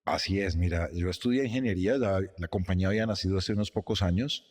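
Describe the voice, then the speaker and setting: A middle-aged man, a company president, telling his story in a measured, reflective way during an interview in his office. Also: low-pitched, darkish tone, big-room sound. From Costa Rica, raised in Guatemala and Colombia.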